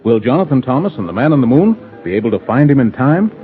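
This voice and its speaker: A man's voice: mid-range voice, sing-song, fast talker. A man announcing a vintage radio serial with a dramatic cliffhanger delivery. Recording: muffled old radio recording with music.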